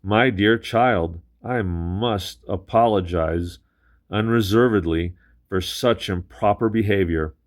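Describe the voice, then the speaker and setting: Man voicing a storybook octopus monster, soft, eerily polite, formal, deep. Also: slow. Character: roaring octopus monster, then oddly polite.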